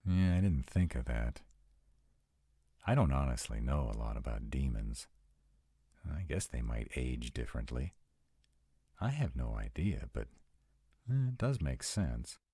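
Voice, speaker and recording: A man's voice: very deep voice, lively intonation, unhurried, pauses often, dark tone, slightly rough, no room sound, studio quality, heavily acted. A man playing a sarcastic, anxious vampire of nearly two hundred in an intimate, conversational roleplay audio. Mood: sardonic, musing. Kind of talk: intimate one-sided roleplay audio acting.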